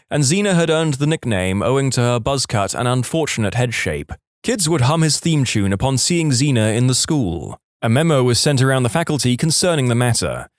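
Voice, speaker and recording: Male narrator, scripted storytelling, animated intonation, dry close sound, dramatized quotes. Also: medium pitch, no echo. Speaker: narrator reading online stories.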